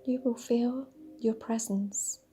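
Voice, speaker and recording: Slow, breathy woman guiding a meditation. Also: fairly high pitch, fairly even intonation. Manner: breathy, half-whispered delivery; dreamy, soothing, with drawn-out pauses. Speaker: meditation guide. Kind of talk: guided meditation. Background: soft sound bath music.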